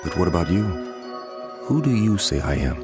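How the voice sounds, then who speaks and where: A man's voice: low voice, sing-song, normal speed, over music. An actor playing a grave, commanding male teacher in a dramatized scripture recording, speaking with solemn, emphatic delivery. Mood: probing. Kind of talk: dramatized scripture audio acting.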